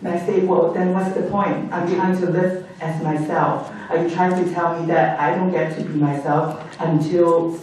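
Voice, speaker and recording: A woman from Hong Kong telling her personal story as a guest speaker at an event, reflective and pausing often with ums. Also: on the low side, level tone, a little mellow, slight background noise. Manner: reflective, with frequent ums and restarts. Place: event hall. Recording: echoey hall from far off.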